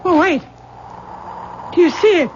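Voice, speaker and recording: An actress playing a firm, grave woman in a 1970s radio drama, deliberate and emphatic in manner. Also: very high voice, lively intonation. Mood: awed. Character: firm, grave woman explaining uncanny events. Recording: muffled, noisy 1970s radio recording.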